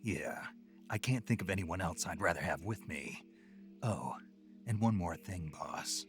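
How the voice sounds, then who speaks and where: An actor playing a gruff, terse special-forces soldier in a video game, with a gravelly, husky voice. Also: male voice, low voice, expressive, a little slow, soft background music. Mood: warm, quiet. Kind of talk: video game cutscene acting.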